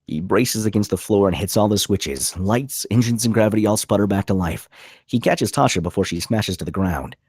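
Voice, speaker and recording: A man's voice: fairly low pitch, fairly quick, a little mellow, slightly rough, no echo, studio quality. A man reading stage directions as dramatic scripted narration at a table read.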